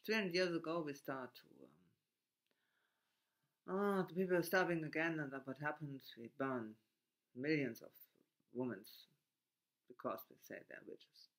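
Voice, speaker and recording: Man, German accent, halting reflective talk, broken grammar, somewhat higher voice. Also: a bit bright, low-quality recording. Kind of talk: unscripted reflective monologue.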